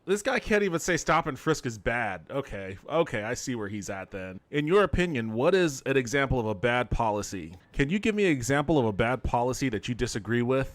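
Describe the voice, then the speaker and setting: A man's voice: medium pitch, very animated, fairly quick, hardly any pauses. Amused male streamer, faint background noise. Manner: amused, incredulous, sweary. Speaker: video game streamer and podcast host. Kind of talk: casual gameplay commentary.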